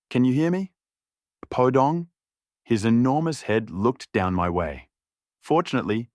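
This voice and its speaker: Low-voiced man narrating an audiobook. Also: dry recording, clean studio sound. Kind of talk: scripted fiction narration.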